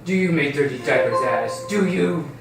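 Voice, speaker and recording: A man's voice: fairly high pitch, animated, moderate pace, vocal fry, over music. A man reading the part of a tired, stressed schoolboy brother in an amateur script table read. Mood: playful. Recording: very echoey room, distant mic, background hiss.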